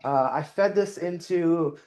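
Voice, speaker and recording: A man's voice: a little high, slow, a little mellow. A middle-aged man giving a conference talk on stage, casual and self-deprecating, with many restarts and fillers. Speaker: conference speaker. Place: conference stage before an audience.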